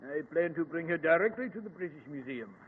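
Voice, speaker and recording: Male actor as confident, scoffing gentleman explorer, vintage radio drama, reverberant with faint hiss. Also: fairly high pitch, expressive, close to an everyday voice. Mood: scoffing, assured. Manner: brisk, scoffing, self-assured. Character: confident, dismissive English gentleman archaeologist. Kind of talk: old-time radio drama acting. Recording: muffled, reverberant, hissy old radio recording.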